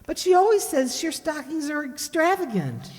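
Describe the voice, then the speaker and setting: A woman's voice: high voice, sing-song, moderate pace, a little mellow, long reverb tail. A woman playing an eager, affectionate young girl in an old-time radio commercial, chatting earnestly. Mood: eager, confiding. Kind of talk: 1940s radio acting.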